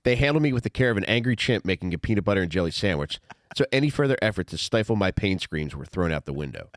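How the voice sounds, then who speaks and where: A middle-aged man with a low voice talks in a blunt, conversational way, as if telling a comic memoir. The sound is close and dry, with very little room on it. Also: animated, fast talker, no room sound. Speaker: author reading own memoir and podcast host. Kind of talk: audiobook reading with casual comic asides.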